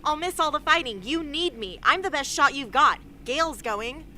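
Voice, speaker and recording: A woman's voice: sits high, animated, moderate pace, bright, forceful tone, heavily acted. An actress playing a defiant, guarded young woman rebel fighter in an amateur radio play, speaking in a blunt, sardonic way. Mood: indignant. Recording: noisy amateur recording.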